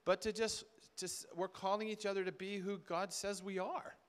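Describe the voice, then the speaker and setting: A man's voice: sits high, little pitch movement, normal speed, clean tone. A middle-aged man speaking to a conference audience in an informal sermon style, talking conversationally, repeating words and acting out bits of dialogue. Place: large indoor hall with seated audience. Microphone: far camera at back of room.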